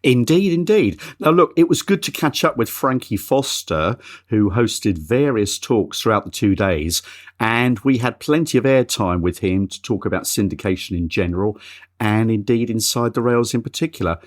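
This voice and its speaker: A man's voice: lowish voice, animated, moderate pace. A middle-aged man hosting a horse racing podcast in an easygoing, chatty way. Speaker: podcast host. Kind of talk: casual podcast chat.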